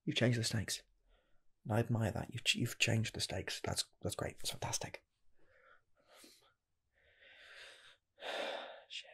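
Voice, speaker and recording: A man playing a breathy, hesitant rocket scientist. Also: on the low side, fairly even intonation, moderate pace, exaggerated voice. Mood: delighted. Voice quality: breathy, half-whispered. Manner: soft, hesitant, rambling with restarts. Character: earnest male rocket scientist job applicant. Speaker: ASMR roleplay creator. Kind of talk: ASMR personal attention roleplay.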